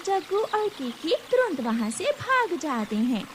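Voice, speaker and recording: A woman's voice: very high-pitched, big pitch swings, measured pace, slight background noise. A woman reading scripted narration for a children's animal cartoon story.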